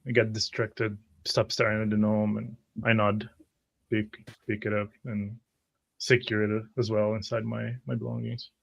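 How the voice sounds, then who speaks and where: A man describes his character's moves in a tabletop game, haltingly and with little melody. His voice is creaky and lowish, and the microphone sounds muffled. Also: flat delivery. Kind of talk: first-person roleplay narration.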